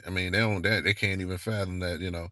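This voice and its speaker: A man's voice: deep voice, fairly even intonation, fast talker, pushed and bright, no room sound, studio quality. A young man from the northeastern United States giving a rambling, laid-back monologue full of fillers and slang. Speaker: channel host. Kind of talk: casual monologue vlog.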